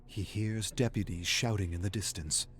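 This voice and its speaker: A man narrating a dark horror story in a dramatic, scripted style, with a measured, grave and brooding delivery. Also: on the low side, fairly even intonation.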